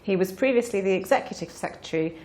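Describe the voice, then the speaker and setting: A woman's voice: mid-range voice, lively intonation, fast talker, darkish tone, echoey room, slight background noise. A woman interviewing a guest, friendly and encouraging, giving frequent backchannels. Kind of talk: conference interview.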